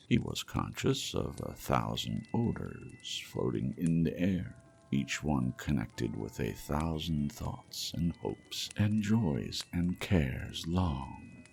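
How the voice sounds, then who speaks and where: A man reading a classic story aloud as audiobook narrator, in a slow, measured, soothing manner. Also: very deep voice, creaky. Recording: faint music behind.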